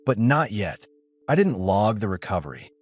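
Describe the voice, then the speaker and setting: A man voicing a calm, protective male rescuer in a sci-fi audiobook, with steady, clipped, matter-of-fact delivery. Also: lowish voice, moderate pace, no room sound. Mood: calm, guarded. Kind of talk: audiobook character dialogue.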